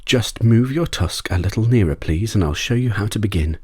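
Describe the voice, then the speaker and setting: A man's voice: on the low side, expressive, a little fast, darkish tone, husky, dry recording, clean studio sound, pitched above the performer's own voice. A man playing a polite, anxious young male adventurer in a storybook, speaking in a courteous, pleading way. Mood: eager, helpful.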